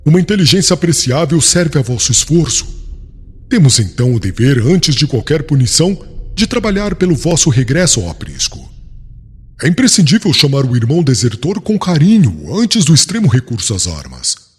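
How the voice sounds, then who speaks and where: A man's voice: a little high, very animated, normal speed, exaggerated voice. A man playing a proud, scornful religious prosecutor in an audiobook, speaking formally and severely. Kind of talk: dramatized audiobook acting, archaic formal speech. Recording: long hall reverb, slightly husky sound.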